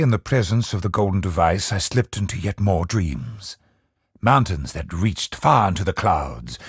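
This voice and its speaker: An actor playing a brooding, tormented scholar of godlike power in a video game, speaking gravely and theatrically in measured phrases. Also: male voice, deep voice, no echo, clean studio sound, full character voice. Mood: awed, dreamy. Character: brooding male scholar turned godlike, tormented cosmic villain. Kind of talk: dramatic scripted video game monologue.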